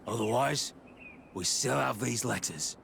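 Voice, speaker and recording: A man's voice: medium pitch, unhurried, gravelly, fairly bright tone, slight background noise. An actor playing a proud, stern male guard captain in a video game, formal and grave.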